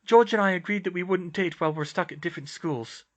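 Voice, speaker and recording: A man voicing an earnest, high-pitched teenage girl. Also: fast talker, lightly acted. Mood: candid, indignant. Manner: stammering, babbling, indignant bursts.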